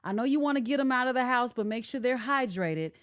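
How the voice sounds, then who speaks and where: A woman's voice: fairly high pitch, lively intonation, fast, dry recording, studio quality. A woman hosting a radio show in a casual, chatty way, speaking directly to her listeners. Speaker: radio and podcast show host.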